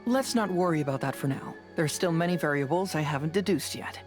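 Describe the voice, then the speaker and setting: A man's voice: fairly high pitch, music in the background, close to an everyday voice. Man playing a composed, analytical young detective. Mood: thoughtful. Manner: deliberate, explanatory. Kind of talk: scripted video game dialogue.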